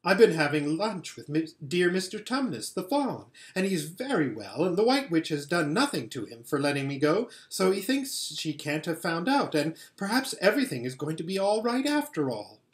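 A man voicing an excited, trusting little girl in a storybook read-aloud. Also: fairly high pitch, normal speed, few pauses, pitched above the performer's own voice. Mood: earnest, eager.

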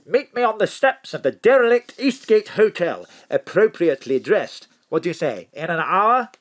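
An actor playing a hearty, plummy spy chief in an audio drama, jaunty, posh and emphatic, full of old-school slang. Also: male voice, high voice, big pitch swings, moderate pace, a bit bright, heavily acted. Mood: jaunty, urgent.

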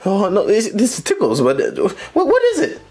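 A man's voice: high voice, huge pitch swings, normal speed, darkish tone. A man voicing an irritable, put-upon household cook in a puppet comedy, complaining and easily flustered. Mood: panicked. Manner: exasperated, complaining, repeats words when flustered. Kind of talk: scripted puppet comedy acting.

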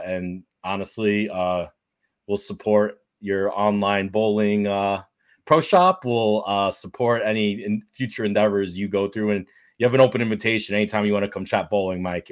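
A man with a fairly low voice hosts a podcast over a video call. He speaks hesitantly, filling his pauses with plenty of "uh"s as he gathers his thoughts. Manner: hesitant, many fillers. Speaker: podcast host. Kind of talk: casual podcast chat.

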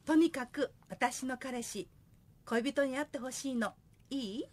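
A woman's voice: sits high, unhurried. A young woman playing an eager, cheeky singer daughter in a comic radio drama. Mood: bantering.

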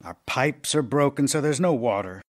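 A man's voice: medium pitch, animated, normal speed, close to an everyday voice. An actor playing an ailing middle-aged playwright husband in an audio drama, tender, intimate and wistful as he voices love letters. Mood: weary, wry.

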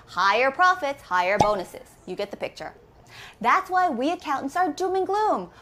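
Woman accounting instructor, thirties, animated delivery, slightly high voice, step-by-step explanations. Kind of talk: recorded lesson walkthrough. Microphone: camera framed head and shoulders.

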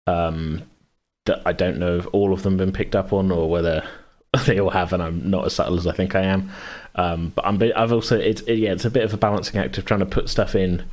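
Very deep-voiced man, chatty and rambling. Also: steady tone, a little fast, darkish tone. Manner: rambling, self-correcting, often chuckling. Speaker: podcast host and game master. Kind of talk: casual chat.

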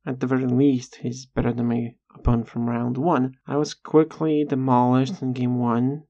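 A video creator narrating a scripted personal story in a measured, slightly halting way with dry sarcasm, on a dull lo-fi recording. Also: mid-range voice, flat delivery, dark tone.

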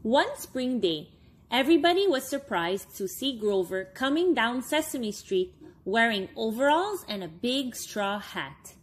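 A woman in her thirties reading a children's storybook aloud, expressively and with deliberate phrasing for young listeners. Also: fairly high pitch, big pitch swings, slightly rough. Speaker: story time reader for children.

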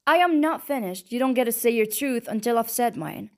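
A woman narrator voicing a furious, wounded young woman who shouts bitterly. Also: a little high, lively intonation, normal speed, lightly acted.

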